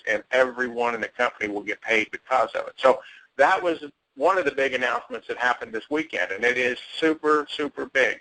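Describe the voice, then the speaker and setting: An American man giving an enthusiastic, salesy pitch on a conference call, repeating words for emphasis. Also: pushed and bright, rough recording. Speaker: company executive addressing network marketers.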